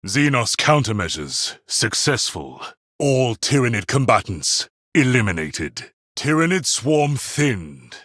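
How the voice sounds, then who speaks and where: A man's voice: medium pitch, sing-song, slow pace, a bit bright, dry recording, studio quality, heavily acted. A man voicing a stern, clinical space marine tactician in a video game, reporting in clipped, formal military phrases. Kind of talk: video game combat voice lines.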